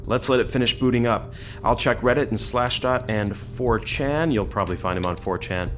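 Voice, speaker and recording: An actor playing a playful, cocky computer hacker in an audio drama, with flippant, bantering delivery. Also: male voice, mid-range voice, normal speed, a bit bright, soft background music, close to an everyday voice. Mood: wry, sardonic. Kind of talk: audio drama dialogue acting.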